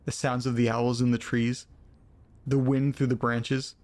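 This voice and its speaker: A man playing a troubled, profane reader of strange letters in an audio drama, speaking in a deliberate storytelling way. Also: mid-range voice, flat delivery, a little mellow, natural voice. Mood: tense, haunted.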